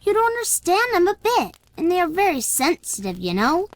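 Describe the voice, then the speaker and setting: A woman playing a calm, self-assured young shepherd's boy in an amateur audiobook drama, explaining things with matter-of-fact confidence. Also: extremely high pitch, brisk, heavily acted. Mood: calm, confident.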